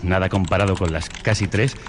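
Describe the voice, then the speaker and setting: A man's voice: low-pitched, steady tone, brisk, background noise, close to an everyday voice. A man playing a polite, uneasy young solicitor in a radio drama, naturalistic and a little hesitant. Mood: tired, relieved. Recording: music behind the voice.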